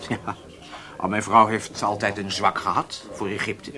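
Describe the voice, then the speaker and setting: An actor playing a smooth, polite German ex-officer in a radio drama, speaking formally and courteously. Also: male voice, fairly low pitch, level tone, unhurried, a crowd in the background, natural voice.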